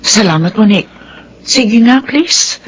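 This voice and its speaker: A woman acting a troubled boss and battered wife in a radio drama, with an expressive, emotive delivery. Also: a little high, measured pace, slight background noise, rough recording. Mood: weary, evasive.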